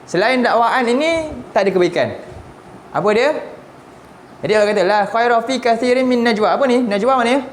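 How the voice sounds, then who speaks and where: A man's voice: very high voice, lively intonation, brisk. A Malaysian man in his forties teaching a Quran lesson, constantly asking his listeners rhetorical questions. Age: 40s. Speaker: religious teacher. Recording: roomy with faint background noise.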